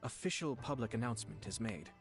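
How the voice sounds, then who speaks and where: A man's voice: mid-range voice, animated, fast talker, vocal fry, faint music behind. Polished, dryly witty young nobleman. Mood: courteous, composed. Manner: formal, polished, dry. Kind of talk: English video game voice acting.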